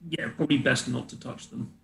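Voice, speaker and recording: A man acts as game master for a tabletop roleplaying session. His voice is mid-pitched and gravelly, caught in an echoing room. He explains things haltingly. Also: steady tone.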